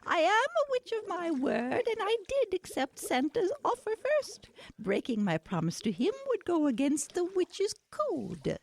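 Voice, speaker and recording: A woman playing a courteous, principled witch in a radio play, speaking in a deliberate, theatrical way. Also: very high voice, sing-song, gravelly, a little hiss or hum, full character voice. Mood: firm, polite. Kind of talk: radio-play character acting.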